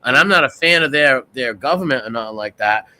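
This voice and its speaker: Blunt, bright-voiced man in his forties. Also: mid-range voice, lively intonation, a little fast. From United States. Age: 40s. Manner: blunt, rhetorical, keeps asking "right?". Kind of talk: casual political chat.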